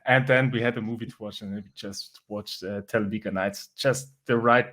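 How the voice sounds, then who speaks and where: A young man from southern Germany with a German accent, chatting as an interview guest, relaxed and halting with many fillers. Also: lowish voice. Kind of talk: casual interview chat.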